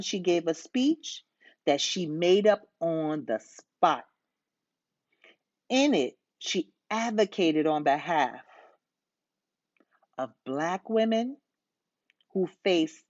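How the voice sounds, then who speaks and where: A woman teacher reading aloud to schoolchildren with measured, emphatic phrasing. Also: lowish voice, darkish tone, no room sound. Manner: measured, emphatic phrasing, addressing young listeners. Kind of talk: read-aloud narration for children. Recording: dull, lo-fi sound.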